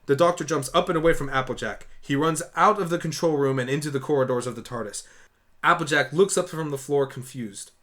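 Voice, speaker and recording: A man's voice: on the high side, brisk, fairly bright tone. A man reading scripted story narration for a fan audio drama.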